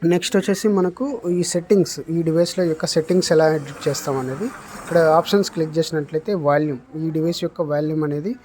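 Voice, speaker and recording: Guy explaining how a gadget works, kinda high voice, noisy recording. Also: fast, a little mellow. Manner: explanatory, mixes in English technical terms. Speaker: product demonstrator. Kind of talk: unscripted product demonstration. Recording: clear background noise, room ambience.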